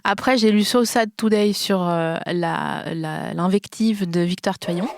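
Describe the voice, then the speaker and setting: A woman in her thirties hosting a casual podcast, an animated storyteller who laughs often. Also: mid-range voice, measured pace, slight background noise. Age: 30s. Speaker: podcast host.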